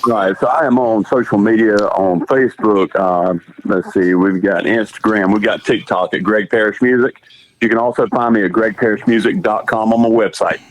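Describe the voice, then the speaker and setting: A raspy-voiced man with a Southern country accent, a musician calling in and telling his story in a relaxed, chatty way. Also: lowish voice, moderate pace, poor audio. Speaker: musician guest on the phone.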